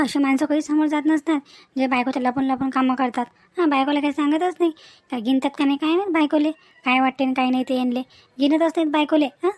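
Cartoon young village wife, played by a woman: very high, fast, scolding and scheming, rural Vidarbha Marathi accent, piles up rhetorical questions. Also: darkish tone, close to an everyday voice. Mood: suspicious grumbling. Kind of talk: Marathi cartoon family-drama dialogue.

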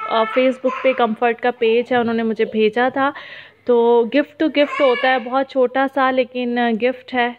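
A woman in her thirties with a Pakistani accent, sounding sad as she gives a casual, halting vlog voice-over sprinkled with English words. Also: fairly high pitch, a little hiss or hum. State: sad, downcast. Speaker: family vlogger. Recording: muffled phone-like narrow-band sound.

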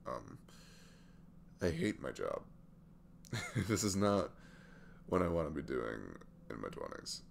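A man in his twenties playing a sweet, easygoing single dad in an intimate ASMR audio roleplay, chatting haltingly in a velvety voice. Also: big pitch swings, slight background noise, heavily acted. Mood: candid, slightly sheepish. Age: 20s. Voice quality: deep, gravelly velvet voice. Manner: halting, chuckling, conversational.

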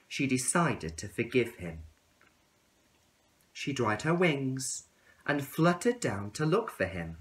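A man in his thirties reading a storybook aloud to young children in an expressive, deliberate way. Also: medium pitch.

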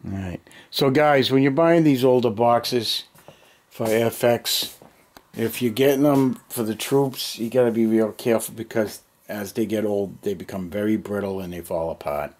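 The fairly low voice of a middle-aged American guy you would hear puttering around his basement workshop, muttering to himself and chatting to the viewers of his hobby vlog. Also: normal speed, a little mellow. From United States. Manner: unscripted, thinking aloud in short muttered bursts. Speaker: hobby vlogger. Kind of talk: casual chat to camera. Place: cluttered basement hobby room. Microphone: handheld camera near arm's length.

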